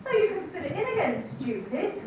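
A woman's voice: very high-pitched, big pitch swings, brisk, very noisy. A woman playing a bossy, impatient council workman in a comic pantomime, scolding on stage. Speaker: amateur pantomime performer. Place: hall stage with audience. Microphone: far camera. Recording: distant, very echoey camcorder stage recording.

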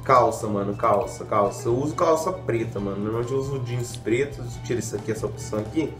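A man's voice: medium pitch, a little fast. A young man talks through a game in a loose, slangy, rambling way, poking fun at himself as he goes. His voice is a little stuffy from a cold. The room around him is echoey, with faint music playing underneath. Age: young adult. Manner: slangy, rambling, self-mocking. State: slightly congested from a cold. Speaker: gaming youtuber. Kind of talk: casual gameplay commentary.

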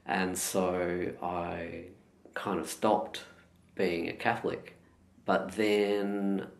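A middle-aged Australian man, a meditation teacher, answering interview questions in a slow, measured and thoughtful way. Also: deep voice, vocal fry. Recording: echoey room.

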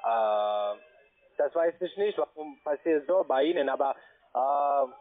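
A man with a foreign accent speaking non-native German, giving a halting phone sales pitch as a call centre agent and often checking with short tag questions. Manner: halting, broken grammar, checks with "ja?" and "ne?". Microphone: telephone. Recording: muffled narrow phone line.

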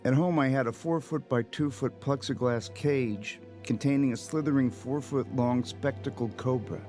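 A man playing a reflective narrator who recounts his youth in a measured, confessional radio drama style. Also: medium pitch, natural voice. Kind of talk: scripted first-person radio drama narration.

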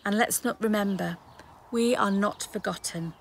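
A woman's voice: mid-range voice, normal speed. A middle-aged woman minister giving a devotional address to introduce a church service. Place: outdoors in a leafy garden. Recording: outdoor ambient noise.

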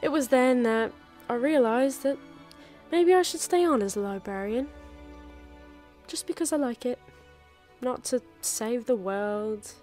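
A woman playing a warm, wry former investigator turned librarian in a full-cast audio drama. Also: fairly high pitch, a little slow, faint music behind, close to an everyday voice. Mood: reflective.

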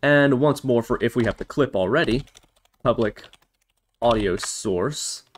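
A man's voice: medium pitch, unhurried, a little hiss or hum. A man hosting a casual programming tutorial, talking through each step as he types it. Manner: spells out steps as he types. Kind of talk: casual screen-recorded coding tutorial narration.

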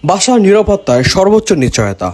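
High-pitched Bangladeshi man pitching a product. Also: very animated, quick pace, a little mellow. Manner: mixes English phrases into speech. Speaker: product presenter for a gadget seller. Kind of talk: promotional voice-over. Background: background music.

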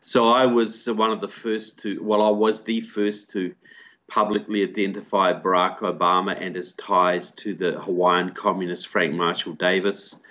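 A man's voice: low voice, moderate pace. An older man with a New Zealand accent, explaining at length as a guest on a video call from a room at home. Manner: steady explanatory monologue. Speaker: guest, author and filmmaker. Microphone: video call with earbud mic. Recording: dull lo-fi call audio.

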